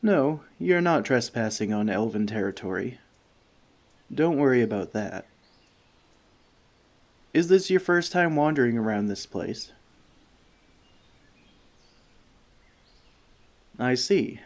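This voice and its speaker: A man playing a friendly forest elf in an ASMR roleplay, talking to the listener with dry, gentle teasing. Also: mid-range voice, big pitch swings, a little slow, pauses often. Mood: calm, reassuring.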